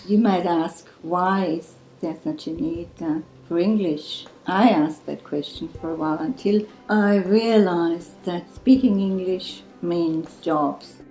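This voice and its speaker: A woman's voice: fairly low pitch, takes it slowly, hardly any pauses, warm tone, roomy sound, faint music behind, rough recording. An older woman recounting her time as a church volunteer, hesitant and full of fillers. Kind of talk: interview testimony.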